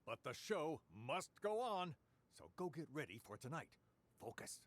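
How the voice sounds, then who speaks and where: A man's voice: on the high side, very animated, normal speed. A man playing a testy, pompous theatre manager in a radio drama, emphatic and dismissive. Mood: agitated. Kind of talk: interactive radio drama acting.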